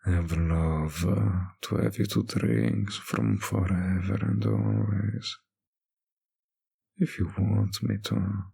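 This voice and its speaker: A man playing a teasing, possessive male vampire in an intimate roleplay addressed to the listener, with a husky, gravelly voice and a lingering delivery. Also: low voice, sing-song, slow pace, dark tone, heavily acted. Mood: coaxing, possessive.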